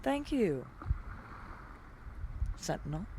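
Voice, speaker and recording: A woman's voice: lowish voice, sing-song, extremely slow, dark tone, husky, heavily acted, rough recording. A woman playing a cocky, wry vigilante superhero with a theatrical delivery in an audio drama. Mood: sincere. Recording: music behind.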